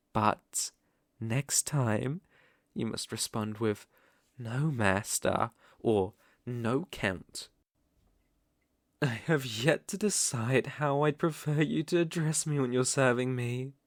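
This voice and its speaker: A man's voice: mid-range voice, vocal fry, no room sound, studio quality. A British man playing a suave, possessive vampire count in an intimate ASMR roleplay, teasing and commanding in theatrical, old-fashioned phrasing.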